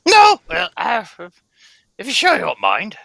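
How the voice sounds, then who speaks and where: A woman's voice: on the high side, huge pitch swings, measured pace, creaky, fairly bright tone, no echo, studio quality, full character voice. A woman playing a flustered, apologetic lady in an old-time radio drama, polite, hesitant and fluttery. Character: flustered, apologetic, high-voiced troubled lady.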